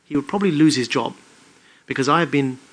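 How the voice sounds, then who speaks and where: A British-born man giving an articulate, emphatic public talk in measured phrases. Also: medium pitch. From United Kingdom. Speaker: public speaker.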